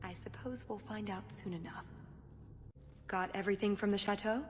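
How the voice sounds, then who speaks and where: A woman's voice: medium pitch, fairly even intonation, moderate pace, lightly acted. An actress playing a cheeky, self-assured female spy with a breezy, wry manner in a video game. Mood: matter-of-fact. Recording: reverberant hall, noisy ambience behind.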